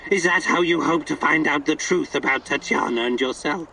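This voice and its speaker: A man's voice: a little high, moderate pace, vocal fry, sharp tone, distant mic, a little hiss or hum, poor audio. An actor voicing an eccentric, twitchy male vagrant in a video game, speaking in cryptic, theatrical, playful riddles. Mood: cryptic, teasing. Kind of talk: video game character acting.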